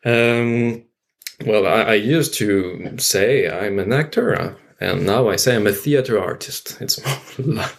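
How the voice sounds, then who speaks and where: A man with a French accent speaking English as a podcast guest, halting and thoughtful in casual conversation. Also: on the low side, gravelly. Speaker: guest, theater artist.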